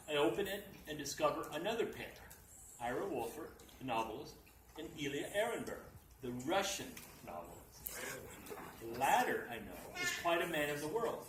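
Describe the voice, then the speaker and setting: A man reading a comic short story aloud at a live reading, with theatrical, deliberate emphasis. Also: a little high. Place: library function room at a live reading. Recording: echoey room, distant mic, noisy.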